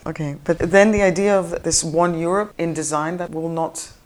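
A woman's voice: on the low side, fairly even intonation, moderate pace. A woman interviewer asking probing questions and murmuring brief agreement.

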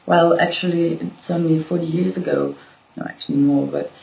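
A woman's voice: low voice, fairly even intonation, a little fast, gravelly, a little mellow. A woman from Belgium in a casual group discussion, thinking aloud with frequent restarts and "you know". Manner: searching, restarts often, lots of "you know". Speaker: discussion participant. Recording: dull lo-fi room recording.

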